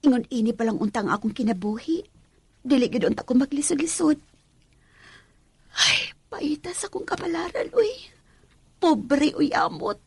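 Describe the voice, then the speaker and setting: A woman's voice: high-pitched, normal speed, creaky, pauses often, no echo. An actress with a Cebuano Filipino accent playing a poor, self-pitying young mother in an emotional, pleading radio drama style. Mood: wistful, awed. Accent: Filipino, Cebuano-speaking. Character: poor self-pitying young mother leaving her children for maid work. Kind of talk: Philippine radio drama acting.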